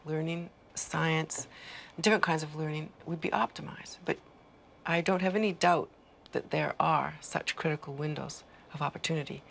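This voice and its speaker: A woman scientist explaining research in a measured, confident way in an interview. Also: low voice, creaky, a little hiss or hum.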